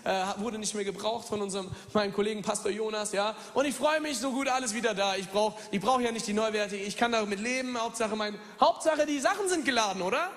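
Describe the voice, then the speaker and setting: High-voiced man preaching in a reverberant hall. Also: fast, few pauses. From Germany. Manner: swings from loud preaching to hushed near-whispers, talks straight to the listener. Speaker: pastor. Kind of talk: lively sermon with personal stories.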